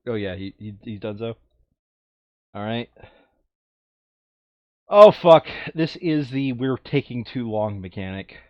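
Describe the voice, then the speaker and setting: A man's voice: mid-range voice, animated, unhurried, long pauses, dry recording, lo-fi sound. A man playing a board game, chatting casually and bluntly with some swearing and reading game text aloud. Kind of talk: casual game table chat, some read-aloud narration.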